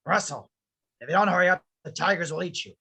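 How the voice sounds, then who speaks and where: Someone playing a grumpy old man, sharp and husky. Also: medium pitch, fast talker, heavily acted. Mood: exasperated. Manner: curt, exasperated. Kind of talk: table read of an animated film script.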